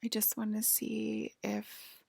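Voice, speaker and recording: A woman playing a female alien abductor in an ASMR roleplay, whispering in a breathy, halting, gently official clinical manner. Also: a little high, completely flat delivery, no echo, exaggerated voice. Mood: calm, curious.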